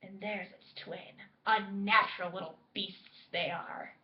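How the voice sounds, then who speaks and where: Bitter old male house elf, hoarse and grumbling, read by a woman, slightly dull audio. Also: fairly even intonation, echoey room, slight background noise. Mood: spiteful muttering. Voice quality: hoarse, deep muttering. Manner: slow, grumbling, spiteful.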